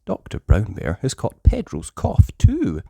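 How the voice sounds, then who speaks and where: Expressive, slow-paced children's story reader. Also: sits low, gravelly, no room sound. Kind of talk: read-aloud scripted narration.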